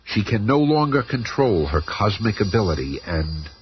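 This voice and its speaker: Dramatic radio serial narrator, low voice, very animated, slightly muffled and noisy. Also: male voice, normal speed. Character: dramatic, ominous storyteller of a superhero serial. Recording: muffled radio broadcast, faint noise.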